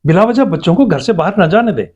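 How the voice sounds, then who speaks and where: A somewhat high-voiced man acting as a family doctor. He is polite and instructive, stressing his advice clearly in a moral family drama. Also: animated, darkish tone, highs cut off. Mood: grave. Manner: instructive, emphatic.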